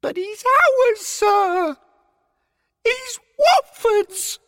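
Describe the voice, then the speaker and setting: A man voicing an anxious, polite thirteen-year-old boy in a high falsetto, deferential but insistent, in a story. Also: very animated, moderate pace, vocal fry, sharp tone, big-room sound, exaggerated voice. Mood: pleading, insistent.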